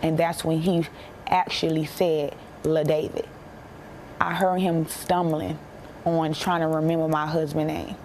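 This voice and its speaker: A young American woman giving a personal account in an interview, plain-spoken and halting, repeating herself for emphasis. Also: deep voice, darkish tone, slight background noise, poor audio. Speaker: interviewee, bereaved military widow.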